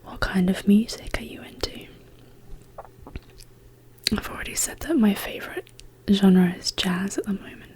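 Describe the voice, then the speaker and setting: A woman speaking as an ASMR host in a hushed, whispered, casual question-and-answer style. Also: medium pitch. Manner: slow, hushed, gently paced delivery.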